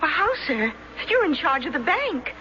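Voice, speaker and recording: A prim, deferential secretary in an old radio drama. She is polite and businesslike yet insistent, in a bright voice. The recording is dull and faintly noisy. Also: sits high, very animated, moderate pace, full character voice.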